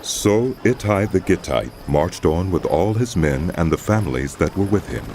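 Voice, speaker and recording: Very deep gravelly man narrating. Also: animated, moderate pace, a little mellow, a little hiss or hum. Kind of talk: scripted dramatized scripture narration.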